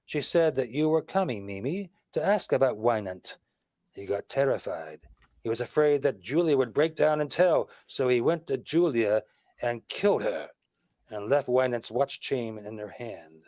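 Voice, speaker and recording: An actor playing a shrewd, wisecracking detective who explains things with confident emphasis, in a 1940s radio mystery style. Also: male voice, mid-range voice, animated, moderate pace, a little mellow, no room sound, close to an everyday voice. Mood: confident, explanatory. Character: shrewd, wisecracking male detective laying out a murder case.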